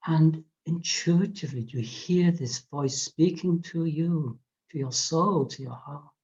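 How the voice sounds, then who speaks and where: A meditation guide leading a guided visualization in a soft, often whispered voice, speaking gently with soothing repetitions. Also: mid-range voice, slightly rough. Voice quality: soft, frequently whispered delivery. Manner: slow, gentle, drawn-out phrasing with soothing repetitions. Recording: dull lo-fi recording.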